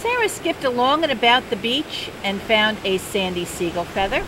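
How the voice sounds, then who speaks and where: An older woman reading a children's picture book aloud in a gentle, unhurried bedtime-story manner. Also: on the high side. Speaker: storybook reader. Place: outdoors by the sea. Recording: steady outdoor noise.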